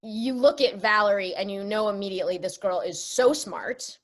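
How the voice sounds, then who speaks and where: A woman speaking as an interview guest, an actress explaining things in a chatty, animated, casual way. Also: mid-range voice, normal speed.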